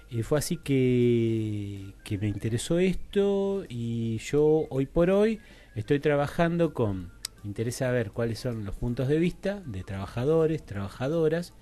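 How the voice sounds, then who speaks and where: A mellow, soft-edged male voice with a Rioplatense Argentine accent, mid-pitched, over faint music. He explains things as a radio guest, haltingly, often searching for the next word. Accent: Rioplatense Argentine Spanish. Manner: hesitant, searching for words, often adds tags. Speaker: guest psychologist and researcher. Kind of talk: explanatory radio interview talk. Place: radio studio table.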